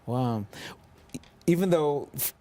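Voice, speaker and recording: A man hosting a local TV interview, speaking in a measured, formal way with frequent ums. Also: a little high, animated, warm tone. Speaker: TV interview host.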